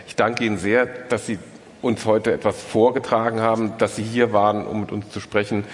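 Low-voiced male moderator in hall. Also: level tone, a little hiss or hum. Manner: measured, polite, long composed questions. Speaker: interviewer, event host. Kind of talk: formal moderated interview. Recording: long hall reverb.

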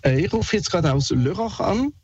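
A man's voice: high voice, animated, a little fast, darkish tone, husky, no echo. A man with a Swiss German accent calling a radio show and telling an anecdote casually, with some hesitation, over a phone connection. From Switzerland. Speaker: radio caller, security guard. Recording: dull band-limited phone call.

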